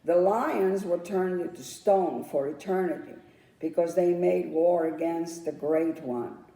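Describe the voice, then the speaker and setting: A woman reading her children's story aloud as its author, with dramatic, drawn-out storytelling phrasing. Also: fairly low pitch, vocal fry, mellow. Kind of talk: storybook read-aloud narration. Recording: roomy echo, distant microphone.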